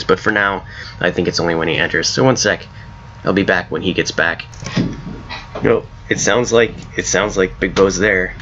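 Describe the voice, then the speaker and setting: A hoarse man casually talking through his own game mod as he plays, rambling and correcting himself as he goes. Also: low-pitched, sharp tone, dull audio, slight background noise. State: hoarse from a cold. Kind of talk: casual gameplay commentary.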